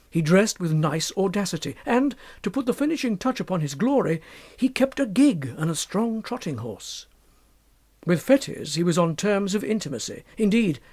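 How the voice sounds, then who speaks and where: Male narrator for gothic audiobook, fairly high-set voice, highly expressive, formal storytelling. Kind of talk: dramatic literary storytelling, scripted narration.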